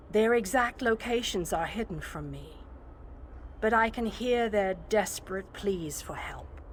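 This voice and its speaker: A woman voicing a calm, wise, ethereal guardian in a video game, speaking in a formal, measured, serene manner. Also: mid-range voice, a little hiss or hum. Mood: solemn.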